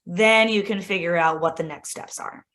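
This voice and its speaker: A woman's voice: on the low side, moderate pace, fairly bright tone. Chatty woman walking teachers through an app. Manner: chatty, lots of "like" and "right?"; enthusiastic teacher tone. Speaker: instructional coach presenting a tech demo. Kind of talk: casual screen-share tutorial.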